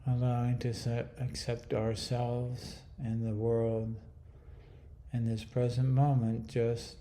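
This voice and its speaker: A man leading a guided meditation in a calm, hushed, soothing manner with long pauses. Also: medium pitch, slight background noise. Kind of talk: guided body scan meditation.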